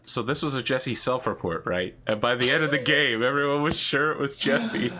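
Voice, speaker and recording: A man in casual chat as the game master, joking and quick to laugh. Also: medium pitch, bright, forceful tone.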